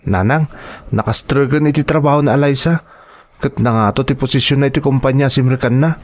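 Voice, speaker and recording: Guy doing a self-doubting young man in an audio drama, plaintive, earnest, mid pitch. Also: a little fast, close to an everyday voice. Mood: downhearted. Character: insecure, downhearted young male graduate and worker. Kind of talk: dramatized family radio-style drama.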